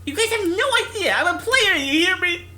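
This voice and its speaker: A man's voice: very high voice, expressive, fairly quick, sharp tone, pitched above the performer's own voice, exaggerated voice. A man voicing a brash, indignant teenage boy gamer from a visual novel, whining and protesting with exaggeration. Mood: outraged, boastful. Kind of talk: visual novel character read-aloud.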